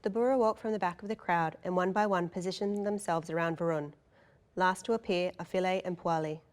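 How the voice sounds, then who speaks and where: A woman's voice: on the low side, steady tone, a little fast. A woman narrator reading stage directions and scene descriptions at a table read.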